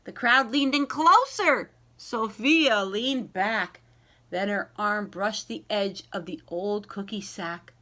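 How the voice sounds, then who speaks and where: A woman reading a children's storybook aloud and chatting to young listeners, expressive and encouraging, with stretched key words and dramatic pauses. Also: mid-range voice. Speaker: library read-aloud host.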